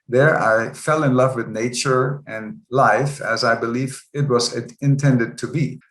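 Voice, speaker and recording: A man's voice: medium pitch, steady tone, a little slow, fairly bright tone. Man in his sixties, roomy sound. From Germany. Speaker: guest. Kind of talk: interview storytelling. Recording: roomy echo.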